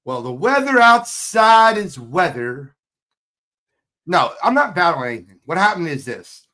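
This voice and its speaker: A hoarse man on a sports podcast, his pitch leaping around as he talks. He teases his co-host and sounds fired up, in a relaxed chat style. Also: a little slow. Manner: animated, teasing, fired up.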